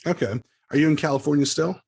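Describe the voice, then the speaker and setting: A man's voice: fairly high pitch, fast, gravelly, darkish tone, husky. A man hosting a music show, speaking in an upbeat, promotional way as he introduces and interviews guests. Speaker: podcast host and interviewer. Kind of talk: enthusiastic scripted show intro and casual interview chat.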